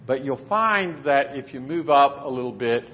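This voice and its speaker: Guy preaching to his church, easygoing plain talk, kinda muffled low-fi sound. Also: expressive, normal speed. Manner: conversational, plainspoken. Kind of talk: sermon. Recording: dull lo-fi recording.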